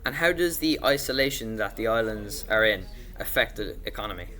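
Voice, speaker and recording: A man's voice: fairly low pitch, animated, fairly quick, bright, forceful tone. Young male podcast host, scripted delivery. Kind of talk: scripted presenting.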